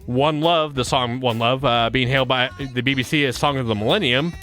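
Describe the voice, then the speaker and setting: A man's voice: mid-range voice, a little fast, a bit bright, faint music behind. A man in his thirties hosting a casual countdown chat, upbeat, reading out chart facts and laughing often. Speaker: radio show host.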